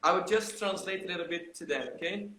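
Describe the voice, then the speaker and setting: A man hosting a live video chat who greets viewers by name and switches into halting English. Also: sits high, bright, forceful tone, roomy sound. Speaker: host.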